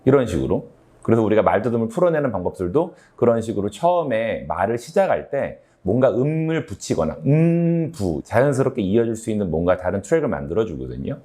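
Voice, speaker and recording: A man's voice: fairly low pitch, dark tone. A man speaking as a doctor hosting an explanatory health talk, conversational, often posing questions and answering them himself. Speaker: ENT doctor and sleep-health show host.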